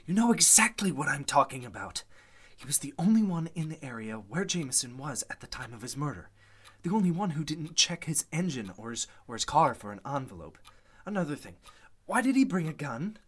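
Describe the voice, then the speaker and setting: A man's voice: a little high, very animated, brisk, a bit bright, breathy edge, full character voice. An actor playing a suspicious, insistent man investigating a murder in a radio mystery, speaking with accusing intensity. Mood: hushed, insistent. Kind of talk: radio mystery drama in Golden Age manner.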